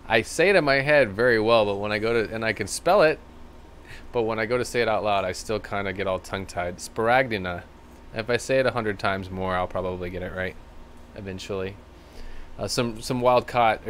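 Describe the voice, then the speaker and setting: A man with a slightly rough, tired voice hosting a live stream, rambling casually and thanking viewers by name. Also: fairly low pitch, fairly bright tone, a little hiss or hum. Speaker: livestream host. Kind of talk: casual live-stream chat reading comments.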